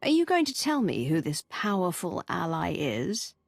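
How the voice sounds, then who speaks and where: An actress playing a cool, commanding Sith commander in a video game, direct and controlled. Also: female voice, fairly low pitch, wildly swooping pitch, moderate pace, no room sound, studio quality, heavily acted. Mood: probing.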